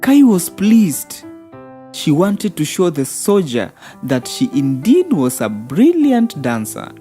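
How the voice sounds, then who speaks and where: A woman's voice: low-pitched, very animated, slow pace. A woman narrating a scripted fairy tale for children as a storyteller. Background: soft background music.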